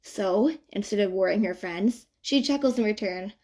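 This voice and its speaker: A woman narrates a story in a mid-pitched voice. Her reading is scripted but conversational, with expressive, playful emphasis on asides. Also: normal speed. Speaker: audiobook narrator of fan fiction.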